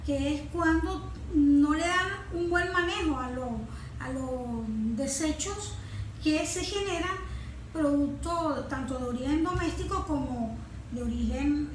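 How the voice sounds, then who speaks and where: A woman with a Venezuelan accent explains things as an interview guest in a very echoey room. Her voice is high, and she talks slowly and haltingly, pausing often. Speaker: guest, environmental consultant. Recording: very echoey room, faint noise.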